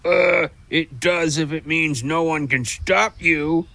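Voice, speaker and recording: Blustering, gravelly giant squirrel. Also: male voice, fairly high pitch, slow pace, sharp tone, exaggerated voice. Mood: boastful, storytelling. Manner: blustering, boastful storytelling. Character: giant boastful grumpy talking squirrel, proud and easily offended. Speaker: voice actor. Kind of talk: audio drama character acting.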